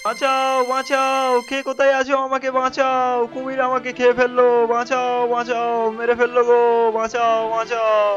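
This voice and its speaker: A man's voice: very high voice, monotone, moderate pace, hardly any pauses, fairly bright tone, faint music behind. A man voicing a gullible, greedy fishmonger in a cartoon story, exclamatory and pleading. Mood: panicked pleading. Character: gullible, greedy male fishmonger, frightened then remorseful. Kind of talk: Bengali cartoon story dubbing.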